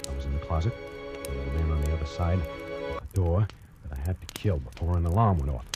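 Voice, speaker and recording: Desperate plotter confessing in halting suspense, very deep voice, 1940s radio thriller with heavy music underneath. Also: male voice. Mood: dazed, tense. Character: tense, desperate man plotting a murder in first person. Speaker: first-person narrator and lead actor. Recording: old radio recording, loud dramatic music.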